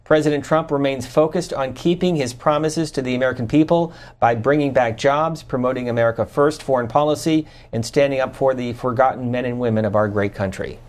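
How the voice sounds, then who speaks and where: A man hosting a televised interview and call-in program, speaking in a measured way and reading statements aloud. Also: mid-range voice. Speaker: host.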